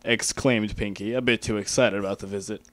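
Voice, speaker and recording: A man's voice: lowish voice, fairly even intonation, brisk, a bit bright, no room sound. A man reading first-person fan fiction aloud as an amateur dramatic narrator.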